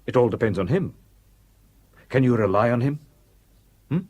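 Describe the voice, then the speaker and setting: An actor playing a cold, scheming conspirator who speaks in a measured, probing way. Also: male voice, medium pitch, a little mellow, breathy edge, natural voice. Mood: cool. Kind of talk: 1970s radio drama acting.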